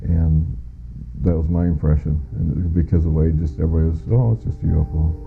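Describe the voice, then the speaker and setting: A man's voice: rumbling low, fairly even intonation, brisk, vocal fry, faint music behind. A middle-aged American man giving first-hand testimony in an interview, plain-spoken and matter-of-fact. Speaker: former air traffic controller giving witness testimony. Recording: muffled lo-fi audio.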